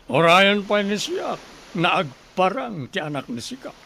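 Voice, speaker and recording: Haughty rich Filipino master, highish voice male actor. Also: big pitch swings, unhurried, creaky, sharp tone, exaggerated voice. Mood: haughty, threatening. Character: rich, haughty master threatening a poor family. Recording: noisy background hiss.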